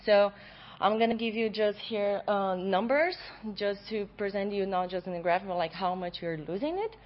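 A young adult woman, a graduate student, giving an academic conference presentation in a loud voice in a lecture room. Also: mid-range voice, normal speed. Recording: dull lo-fi recording.

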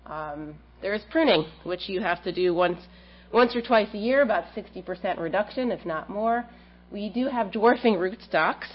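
A woman in her thirties with a cold giving an academic research seminar, explaining her work with frequent ums. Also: lowish voice. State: has a cold, slightly stuffy. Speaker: plant scientist giving a research talk. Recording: dull lo-fi lecture audio with faint hum.

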